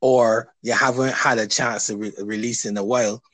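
A man hosting a casual interview, talking hesitantly with many restarts and pauses. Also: mid-range voice, fairly even intonation, a bit bright, no room sound. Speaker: podcast host.

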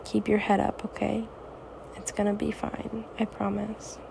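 Raspy, tired teenage girl, soft-spoken. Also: mid-range voice, completely flat delivery, measured pace, background noise. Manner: soft, reassuring, hesitant. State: tired, up late. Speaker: podcast host. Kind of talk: personal podcast monologue.

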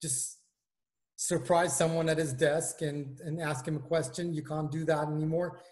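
Israeli guy in his 40s on a video call, lots of uh and restarts, voice a bit on the high side. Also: level tone, normal speed, darkish tone. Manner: hesitant, many fillers and restarts. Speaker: guest, engineering manager. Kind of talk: casual video-call interview.

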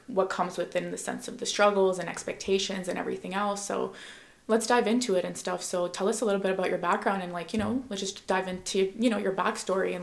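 A young woman hosting a podcast, her voice slightly low and gravelly. She talks loosely, packing her sentences with "like" and "you know." Her voice carries room echo. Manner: filler-heavy, "like, you know" throughout. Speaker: podcast host. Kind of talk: casual podcast chat.

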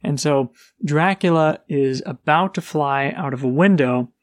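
A man's voice: on the high side, unhurried, dry recording, studio quality. A man co-hosting a casual book podcast, retelling a story's plot in plain, easygoing words. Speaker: podcast co-host.

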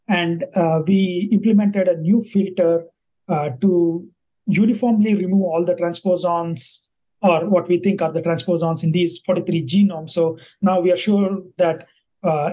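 A man's voice: high voice, warm tone, rough recording. A man from India, a native Kannada speaker, answering interview questions thoughtfully with many fillers and restarts. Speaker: guest scientist.

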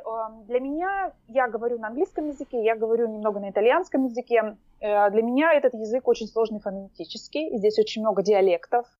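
A woman's voice: on the high side, quick pace, few pauses, darkish tone, no room sound, lo-fi sound. A woman guest chatting in an interview, talking hesitantly with many fillers and restarts. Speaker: podcast guest. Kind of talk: casual interview chat. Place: lives in Norway.

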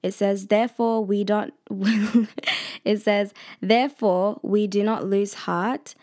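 Woman, 20, student vlogger; casual, chatty, slangy, laughs often, mid-pitched voice. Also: fairly even intonation, takes it slowly, mellow. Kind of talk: casual personal vlog talk.

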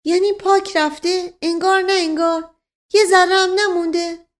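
A woman plays a timid rustic fellow in a comic audiobook. He sounds plaintive and resigned. The pitch is strikingly high. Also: expressive.

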